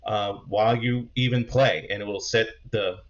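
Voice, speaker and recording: A man giving a casual, unscripted gear walkthrough in a halting, conversational way, often correcting himself. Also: lowish voice, fairly even intonation, long reverb tail, lo-fi sound. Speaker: presenter demonstrating audio gear.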